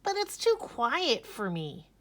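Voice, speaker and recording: A woman's voice: on the high side, wildly swooping pitch, slow, bright, forceful tone, pitched above the performer's own voice, exaggerated voice. A woman voicing a fussy, restless little female bunny in a storybook, with plaintive, emphatic delivery. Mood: plaintive, complaining.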